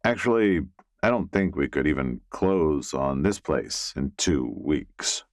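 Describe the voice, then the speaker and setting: Audiobook role: awkward, good-natured middle-aged man read by a man, deep register, slow and sheepish. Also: lively intonation, dry recording, studio quality, heavily acted. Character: Bernie, amiable, awkward male realtor, middle-aged.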